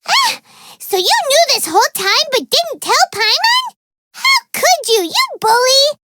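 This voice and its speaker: Squeaky, excitable little fairy companion. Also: female voice, unhurried, very noisy, heavily acted. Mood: indignant. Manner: speaks of self in third person, exclamatory, whiny. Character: tiny excitable childlike fairy companion, greedy, naive and dramatic. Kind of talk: video game character dialogue.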